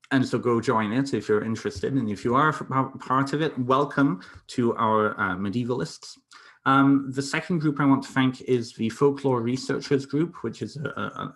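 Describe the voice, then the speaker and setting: A man's voice: medium pitch, a bit bright. A young British man from North Wales, a counsellor giving an online presentation, talking with many fillers and restarts. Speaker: counsellor presenting a folklore talk. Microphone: computer mic on a video call.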